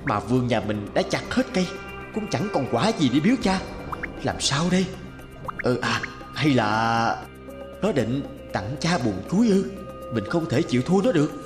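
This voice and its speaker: A man acts a greedy, self-important elder brother in a cartoon fable. He blusters and mutters his schemes slyly, with clear music behind him. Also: mid-range voice, big pitch swings, normal speed, long reverb tail, natural voice. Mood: smug, scheming. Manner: blustering, sly, muttering to himself. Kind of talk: animated folk tale dialogue.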